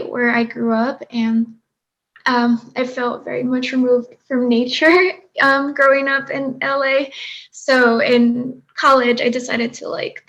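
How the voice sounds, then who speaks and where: A woman's voice: fairly high pitch, a bit bright, low-quality recording. A young woman from Mexico introducing herself as a science fellow at a public meeting over a video call, chatty and laughing easily. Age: young adult.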